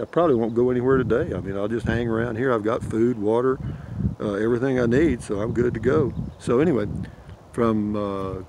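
A man's voice: medium pitch, noisy background. An older man with a drawl, rambling folksy vlog chat outdoors into a handheld phone. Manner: rambling, folksy, chuckles often. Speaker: camping vlogger. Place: outdoors in a pine forest. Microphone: phone held at arm's length.

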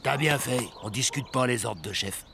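A man's voice: mid-range voice, normal speed, vocal fry, heavy background noise, natural voice. An actor voicing a dim, deferential biker henchman in a cartoon, oafish and eager to please. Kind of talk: French cartoon acting.

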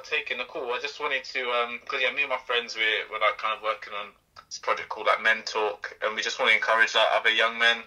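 A man's voice: lowish voice, flat delivery, fast talker, sharp tone, low-quality recording. Young man, hesitant phone interviewer. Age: young adult. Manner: hesitant, many fillers. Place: small room indoors. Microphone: phone held to ear.

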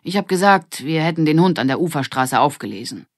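A woman's voice: low voice, lively intonation, fairly quick, dry recording, studio quality. A woman voicing a stern, irritated father in a children's audiobook, firm and scolding. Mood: stern, reproachful.

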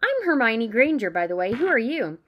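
A woman's voice: a little high, big pitch swings, fairly quick, muffled recording, noisy background. A woman voicing a bossy, self-assured young schoolgirl witch in a storybook reading. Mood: boastful.

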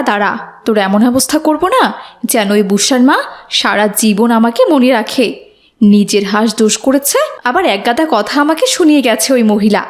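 A woman's voice: on the high side, natural voice. A woman voicing a hot-tempered, spiteful village neighbour woman in a moral cartoon. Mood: angry, spiteful. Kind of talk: children's moral cartoon dialogue.

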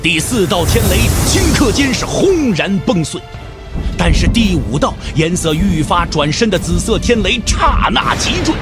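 A man giving dramatic scripted narration for an audio drama, theatrical and emphatic. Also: high voice.

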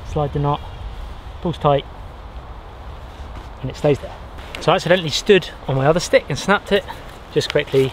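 A man in his thirties, outdoors in a pine forest, explaining how he pitches a shelter and gathers fire materials as he works, often restarting his sentences. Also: slight background noise. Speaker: bushcraft vlogger. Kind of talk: casual outdoor how-to narration.

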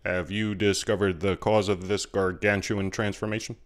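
A man voicing a composed, serious middle-aged president in an animated comedy, with a measured deadpan manner. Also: low voice, lightly acted. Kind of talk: animated comedy parody acting.

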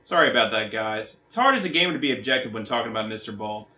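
A man's voice: on the low side, animated, fast talker. A man in his thirties hosting a scripted video essay as a critic, sardonic and emphatic in his opinions. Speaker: video game movie critic, show host. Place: small furnished bedroom, indoors.

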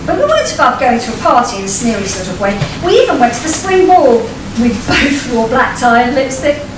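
A middle-aged woman, reading a short story aloud in a wry, theatrical storytelling style with deliberate phrasing. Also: on the high side, sing-song, distant mic. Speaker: writer reading their own short story. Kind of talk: live expressive storytelling reading. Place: small vaulted performance venue, on stage. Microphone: distant camera microphone. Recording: echoey stage recording from afar, noisy room. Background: live audience ambience.

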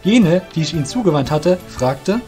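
A man narrates a scripted fantasy audio drama. His voice sits fairly high for a man. Faint music plays behind him. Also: lively intonation, moderate pace, warm tone.

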